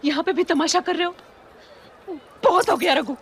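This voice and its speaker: A woman's voice: very high-pitched, normal speed, a little hiss or hum. A woman acting a weary, hurt wife and mother in a film drama, speaking plainly and earnestly with reproach. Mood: fed up. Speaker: actor in a film.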